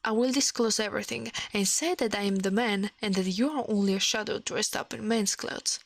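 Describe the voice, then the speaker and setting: A woman's voice: mid-range voice, fairly even intonation, a little fast, creaky, a little hiss or hum, natural voice. A woman voicing an indignant, principled learned man in a storybook, formal and protesting.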